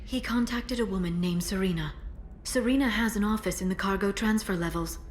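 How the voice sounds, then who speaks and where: A woman voicing a composed alien information broker in a video game cutscene, speaking softly and in a measured way. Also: medium pitch, slight background noise, lightly acted. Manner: soft-spoken, measured, quiet intensity.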